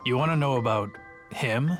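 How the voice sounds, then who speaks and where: A man's voice: medium pitch, normal speed, lightly acted. Guy doing an earnest boastful guard npc for anime game, dramatic storyteller, faint music under. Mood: welcoming, boastful. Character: earnest, boastful young male city guard. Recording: game music playing behind.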